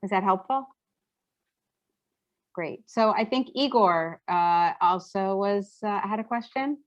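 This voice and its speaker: A woman fielding questions and explaining things in a halting, hesitant way, over dull meeting audio. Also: medium pitch. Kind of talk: explanatory question-and-answer talk.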